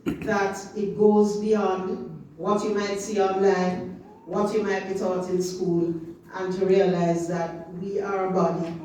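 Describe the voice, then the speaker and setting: A woman's voice: mid-range voice, flat delivery, slow pace, darkish tone, a little hiss or hum. A woman from Jamaica with a Jamaican accent, giving an impassioned public talk as an official of a regional organization. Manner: impassioned, rhythmic emphasis, rising to chant-like slogans. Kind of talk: public talk at a community meeting. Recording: echoey hall, distant mic, faint ambience.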